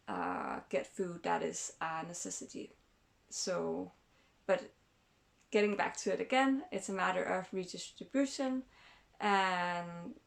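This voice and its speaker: A woman's voice: on the low side, gravelly. A woman from Denmark hosting her own vlog, explaining thoughtfully with many fillers and restarts. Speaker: channel host.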